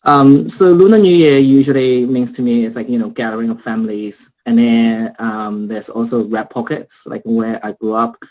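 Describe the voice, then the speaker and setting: A man's voice: medium pitch, steady tone, normal speed, hardly any pauses, a little mellow, low-quality recording. A man from China speaking casually as a panelist on a video call, with many fillers. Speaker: panelist, trader.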